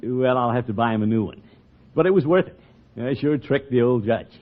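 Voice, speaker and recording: An actor playing a pompous, blustering middle-aged uncle in a 1940s radio comedy, theatrical and easily flustered. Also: male voice, medium pitch, fairly quick, natural voice. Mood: smug, amused. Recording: muffled 1940s radio recording.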